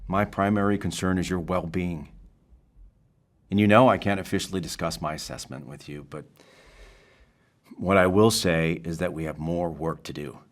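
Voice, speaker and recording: Deep-voiced, measured male therapist, played by an actor. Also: fairly even intonation, lightly acted. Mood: gentle, professional. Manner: measured, clinical, reassuring. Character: calm, patient male therapist, measured and clinical. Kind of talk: naturalistic audio drama acting.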